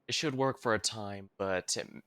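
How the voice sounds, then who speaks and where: A man streaming a game, giving casual, offhand commentary and reading on-screen text in a halting way. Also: lowish voice, sharp tone.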